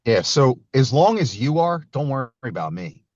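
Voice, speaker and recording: A man's voice: medium pitch, big pitch swings, normal speed, darkish tone, dry recording. A man hosts a podcast over a remote video call, speaking earnestly and calling his guest man and brother. His voice sits in a dry, close sound with a slightly dull, lo-fi tone. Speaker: podcast host. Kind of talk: casual interview intro.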